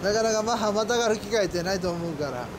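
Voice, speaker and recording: A man's voice: high-pitched, animated, fast. A man with a Kansai accent, a cafe owner chatting in a friendly, talkative way with visiting riders outdoors. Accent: Kansai Japanese. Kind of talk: casual chat. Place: outdoors by a cafe parking area. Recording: outdoor noise, passing cars.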